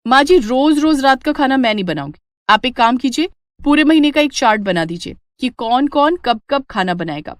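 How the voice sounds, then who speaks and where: A woman's voice: sits high, expressive, moderate pace, dry recording, studio quality, voice pushed up. A woman voicing an assertive, resentful elder daughter-in-law, argumentative and insistent, in a cartoon family drama. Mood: defiant.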